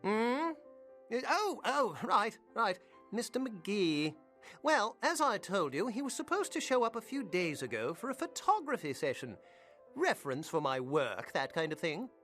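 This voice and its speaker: A man's voice: high-pitched, wildly swooping pitch, a little slow, a bit bright, faint music behind, full character voice. An actor playing a fussy, politely obsessive and creepy male shop owner in a video game, with genteel, fluttery, hesitant speech. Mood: polite, evasive. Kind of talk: video game character acting.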